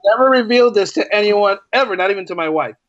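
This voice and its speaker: Male podcast guest, lightly high voice, conversational, doubles back on phrases, muffled lo-fi sound. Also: lively intonation, quick pace, a bit bright, no room sound. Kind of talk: casual interview chat.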